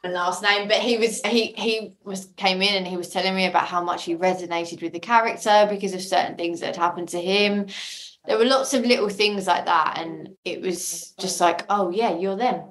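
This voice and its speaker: A woman's voice: medium pitch, steady tone, normal speed, fairly bright tone. A woman talking casually and effusively as an interview guest, telling stories and repeating phrases for emphasis. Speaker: audio drama creator and casting lead, interview guest. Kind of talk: casual conversational storytelling in an interview.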